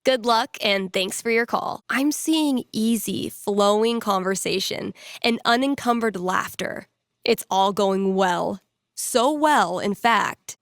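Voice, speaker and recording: A young American radio DJ and playful fortune teller in a video game. Her voice sits at a medium female pitch, delivered in a wry, casual, teasing way. The sound is close and dry, with no room around it. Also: no echo.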